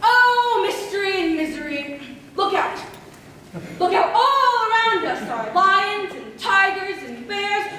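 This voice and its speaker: Loony prophet housekeeper, wailing doom way up high, school play, super echoey. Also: female voice, expressive, takes it slowly, bright, forceful tone, exaggerated voice. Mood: ominous, melodramatic. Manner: melodramatic, declamatory wailing. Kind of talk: high school stage comedy acting. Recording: echoey stage, distant mic, noisy.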